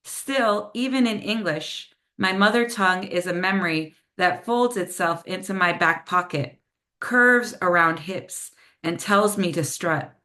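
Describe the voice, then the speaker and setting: A woman's voice: fairly low pitch, pushed and bright. A woman whose first language is Spanish, reading her own poems over a video call and chatting casually between them with lots of "um" and "like.". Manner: slower, measured delivery with Spanish phrases when reading poems. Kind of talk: poetry reading with casual spoken introductions.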